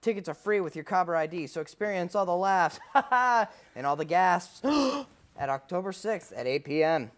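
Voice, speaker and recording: A young man co-hosting a college radio arts segment, with deadpan jokes in chat and reading event promos. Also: high-pitched, normal speed. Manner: dry, deadpan humor. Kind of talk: casual chat and read announcements.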